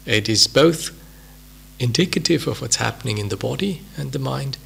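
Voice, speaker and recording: A man with a Swiss accent giving an unhurried, reflective talk as a meditation teacher, with many pauses and fillers. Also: medium pitch, expressive, vocal fry, fairly bright tone, slight background noise. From Switzerland. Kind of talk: dharma talk at a retreat.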